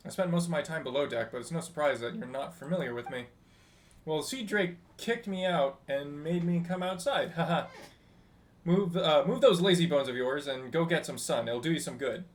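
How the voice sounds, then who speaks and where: A man voicing a chatty, teasing ship's technical officer from a game, reading the dialogue aloud in an animated, slightly halting way. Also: a little high, close to an everyday voice. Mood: friendly, teasing.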